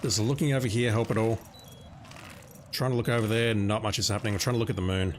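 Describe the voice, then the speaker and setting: A man's voice: on the low side, fairly even intonation, fast, a little hiss or hum. A man whose first language is Russian, casually talking through a video game as he plays, musing and chatty, with game music behind him. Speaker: streamer. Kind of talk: casual gameplay commentary.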